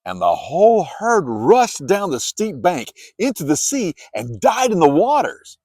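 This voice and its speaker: Expressive male Bible narrator, dry close sound. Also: high-pitched, measured pace, no room sound. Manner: expressive storytelling. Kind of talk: dramatized scripted Bible narration.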